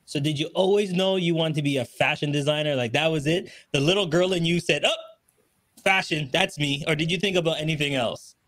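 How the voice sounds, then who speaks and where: Male show host, middle-aged, high voice, animated and upbeat, chatty morning delivery. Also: a little fast. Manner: enthusiastic, animated, gesturing. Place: small home studio, indoors. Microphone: desk microphone, close.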